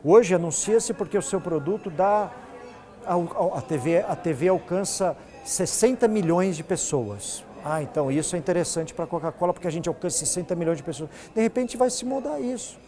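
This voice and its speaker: A Brazilian man gives explanatory interview answers in a fairly high voice with a very mellow, relaxed tone. He speaks as a news director and drives points home by repeating his phrases. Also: normal speed, faint music behind.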